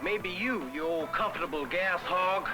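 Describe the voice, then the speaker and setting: An actor playing an excitable, dithering customer in a comic 1970s educational film, arguing emphatically with himself. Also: male voice, sits high, measured pace. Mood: agitated. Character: excitable, indecisive customer agonizing over prices. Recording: 1970s film soundtrack with music.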